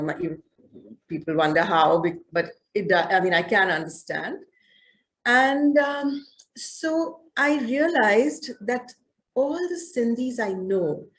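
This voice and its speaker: A woman's voice: medium pitch, a little hiss or hum, rough recording. A woman author telling a book club about her book in a loose, conversational way, full of fillers and restarts. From southern India. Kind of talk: casual talk.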